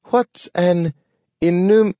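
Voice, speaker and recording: A man's voice: sits high, extremely slow, mellow, no echo, voice pushed up. A man voicing a friendly, eager young male dog who speaks with careful pronunciation and odd intonation, like someone learning the language. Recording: dull lo-fi recording.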